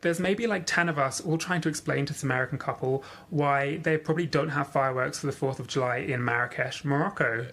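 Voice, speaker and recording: A man's voice: medium pitch, fairly quick, few pauses, fairly bright tone. A man telling an amused travel story in a scene-setting social media clip.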